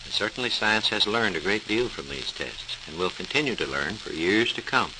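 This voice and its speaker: A man narrates a scripted science program in 1940s radio style. His voice is lowish with lively rises and falls, over clear hiss and crackle. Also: moderate pace. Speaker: research engineer presenting a science program.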